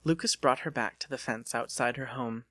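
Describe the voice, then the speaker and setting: A man narrates a story in a dramatic, scripted audiobook style. His voice sits at a medium pitch. The sound is very dry, with little room in it. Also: normal speed, pushed and bright, dry recording.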